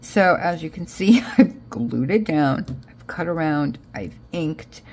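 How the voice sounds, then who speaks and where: A woman's voice: sits low, expressive, slow, a little mellow. A woman with an American accent chatting casually as she narrates a craft project, rambling and thinking aloud, often trailing off. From Israel, raised in Connecticut, US. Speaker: craft vlogger.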